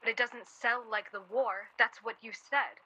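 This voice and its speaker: An actress playing a sharp-tongued, worried young wife in a 1940s radio play, snippy and sardonic. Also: female voice, on the high side, fairly even intonation, normal speed, slightly rough, rough recording. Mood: weary, irritated.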